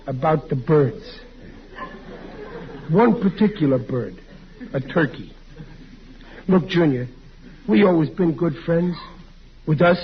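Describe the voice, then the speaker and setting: A man's voice: a little high, lightly acted. An actor playing a bumbling, blustering American father in an old radio comedy, stammering and easily flustered. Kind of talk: 1940s radio comedy acting. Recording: muffled, hissy old radio recording.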